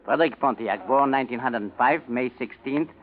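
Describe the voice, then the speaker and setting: An actor playing a nervous, evasive war criminal posing as a returning American, hesitant and stiffly polite, in 1940s radio style. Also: male voice, mid-range voice, moderate pace, phone-quality sound, slight background noise, lightly acted. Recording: band-limited old 1940s radio recording.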